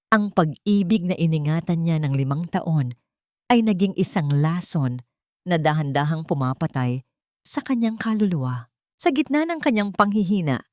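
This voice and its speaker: Female audiobook narrator, lowish pitch, solemn melodramatic storytelling, dry sound. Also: darkish tone, no echo. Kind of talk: dramatic scripted story narration.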